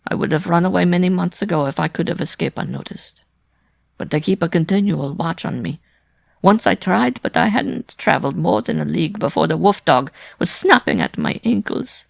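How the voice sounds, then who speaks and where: Man voicing a desperate woman, high and creaky. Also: normal speed, no room sound, studio quality. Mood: pleading. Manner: pleading, breathless, overwrought. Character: desperate frightened wife, worn-out former circus performer. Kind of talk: audiobook character acting.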